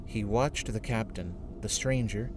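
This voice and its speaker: A man's voice: fairly low pitch, a little slow, background noise. A man giving a solemn, dramatic scripted narration of a story. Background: dark atmospheric game music.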